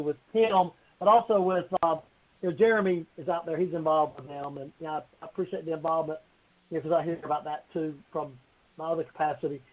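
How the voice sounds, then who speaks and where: A man speaking as a council member, making informal, rambling remarks in a budget meeting that circle back to the point. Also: fairly high pitch. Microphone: conference call. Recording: thin, phone-like conference call.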